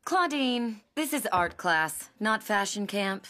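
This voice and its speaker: A woman voicing a dreamy, dramatic monster art teacher in a cartoon, airy and drawn-out, with music behind her. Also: medium pitch, lively intonation, bright, forceful tone. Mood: scolding. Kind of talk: animated cartoon acting.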